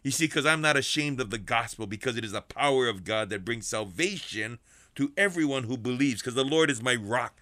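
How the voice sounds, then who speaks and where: A man preaching a fervent radio sermon to people in prison, repeating phrases and swinging between high urgent calls and low hushed passages. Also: animated, a little fast, dry recording, clean studio sound. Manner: fervent, repetitive preaching with big pitch swings.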